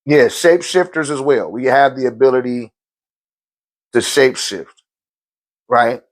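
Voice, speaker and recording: A man hosting a spiritual live stream, talking loosely in slang and answering viewer questions in a relaxed, conversational way. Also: mid-range voice, a little slow.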